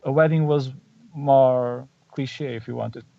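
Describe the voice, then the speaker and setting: A man's voice: medium pitch, fairly even intonation, vocal fry, far from the mic, dull audio. A man from Portugal with a Portuguese accent, a photographer guest who often hunts for words and restarts his sentences. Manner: searching for words, halting restarts. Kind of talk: casual podcast interview.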